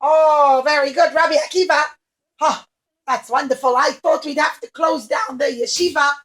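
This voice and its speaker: A woman voicing a cheerful cook who exclaims loudly. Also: high voice, normal speed. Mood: overjoyed. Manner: loud, exclaiming.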